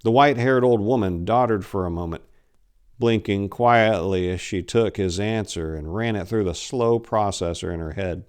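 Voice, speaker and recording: Low-voiced man reading a story dramatically. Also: clean tone. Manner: theatrical, deliberate. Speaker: host, author reading own short story. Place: indoors, book-lined room. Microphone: lapel mic.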